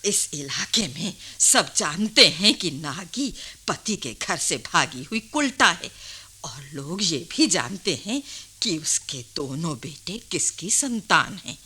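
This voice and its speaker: Wise old grandmother, radio drama: frank, knowing, bright husky voice, lively swings. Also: fairly low pitch, takes it slowly, full character voice. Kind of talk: radio play acting.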